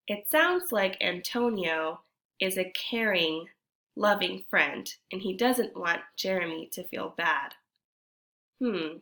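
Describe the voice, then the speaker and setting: A woman teacher reading a storybook aloud to children, expressively, pausing to ask her young listeners guiding questions. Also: mid-range voice, sharp tone.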